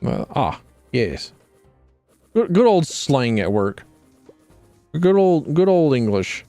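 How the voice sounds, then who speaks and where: A man's voice: medium pitch, sing-song, slow pace, mellow, no room sound, faint music behind. A man streaming a video game, chatting casually in a rambling, wry way and often correcting himself. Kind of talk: casual gameplay commentary.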